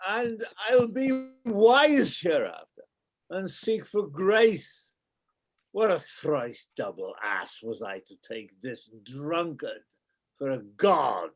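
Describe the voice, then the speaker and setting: A man playing a sailor and servant in a Shakespeare reading, with deliberate, dramatic phrasing. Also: on the high side, exaggerated voice. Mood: fearful, rueful. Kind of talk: unrehearsed Shakespeare play reading.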